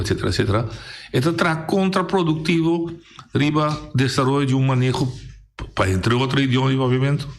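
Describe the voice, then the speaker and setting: A man with a medium-pitched voice hosts a discussion in a radio studio. He talks in a conversational way, agrees with his guest often and restarts his phrases mid-thought.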